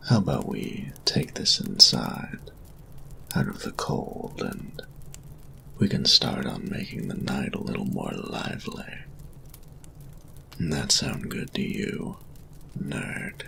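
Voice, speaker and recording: Man acting very deep, gravelly, cocky flirty bully. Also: very animated, sharp tone, whispered, heavily acted. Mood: mocking, flirty. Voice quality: breathy, gravelly low voice. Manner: slow smug drawl, taunting. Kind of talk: intimate roleplay audio acting.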